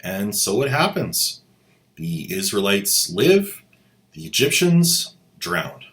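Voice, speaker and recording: A man's voice: fairly low pitch, very animated, takes it slowly, fairly bright tone. A man hosting a channel, giving sarcastic commentary with wry, mocking asides. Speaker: host.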